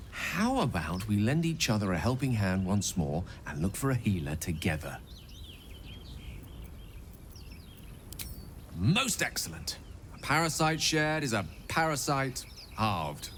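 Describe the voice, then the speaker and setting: A man's voice: mid-range voice, slight background noise. A man playing a genteel, erudite wizard in a video game, wordy, courteous and wryly witty in his phrasing. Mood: hopeful, genial. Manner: eloquent, flowery, playful phrasing. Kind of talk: theatrical video game dialogue acting.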